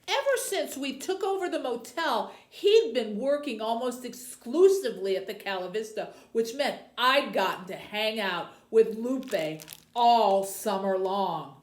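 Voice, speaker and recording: A woman presenting and reading aloud as a librarian for tweens, in a deliberate, presentational way. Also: a little high, lively intonation. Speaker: youth services librarian hosting a book program. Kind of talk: book talk and read-aloud for tweens.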